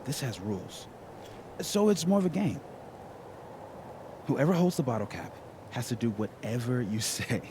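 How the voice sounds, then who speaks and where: A man playing an earnest, cautious young friend in a video game, hesitant and gently wry. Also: mid-range voice, animated, moderate pace, long pauses, background noise, natural voice. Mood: conspiratorial. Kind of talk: naturalistic video game acting.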